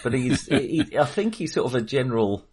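A husky-voiced man co-hosting a casual film discussion in a hesitant, tentative manner. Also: medium pitch, lively intonation, moderate pace, background noise. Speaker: film podcast co-host.

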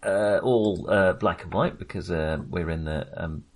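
A man with a slightly low voice hosts a casual film podcast. He talks in a rambling, hesitant way, circling back on his phrases with a wry touch. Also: measured pace. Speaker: podcast host.

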